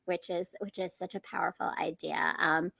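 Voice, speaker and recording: A woman hosting a live podcast interview, effusive and quick to laugh. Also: on the low side, steady tone, creaky, no room sound. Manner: warm, effusive, laughs often. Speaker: podcast host.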